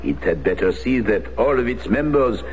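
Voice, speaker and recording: An actor playing an older priest in a radio drama, speaking in a measured, formal, hesitant way. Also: male voice, fairly low pitch, animated, darkish tone, natural voice. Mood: patient, grave. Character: guarded French parish priest, former Resistance leader. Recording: dull, noisy vintage radio recording.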